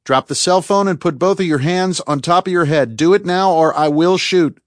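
A man's voice: fairly low pitch, brisk, no echo, close to an everyday voice. Man acting a tough male federal agent, terse, blunt, decisive, audiobook character, dry studio-close sound. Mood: commanding shout.

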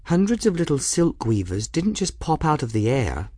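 Guy doing a plucky practical girl in a storybook, higher voice, earnest and a bit formal. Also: sing-song, normal speed, darkish tone, no echo. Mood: firm. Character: brave, firm, practical young girl explorer.

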